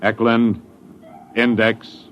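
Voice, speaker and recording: An actor playing a gruff, scornful senior spaceship officer with curt, clipped delivery in a 1950s radio drama. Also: male voice, fairly low pitch, flat delivery, extremely slow. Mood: brisk, commanding. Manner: curt, clipped commands and sarcasm. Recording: muffled, noisy old radio recording.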